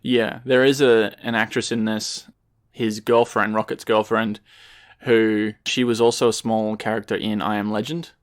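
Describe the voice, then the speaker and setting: A man's voice: on the low side, little pitch movement, normal speed. A man co-hosting a casual film podcast, laying out background facts about a movie with plenty of ums and uhs. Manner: informative, shares background facts with fillers. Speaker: podcast co-host.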